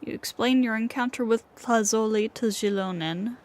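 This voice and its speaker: A woman's voice: on the high side, moderate pace, darkish tone. A woman livestreamer reading game text aloud and commenting as she plays. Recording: game music behind the voice.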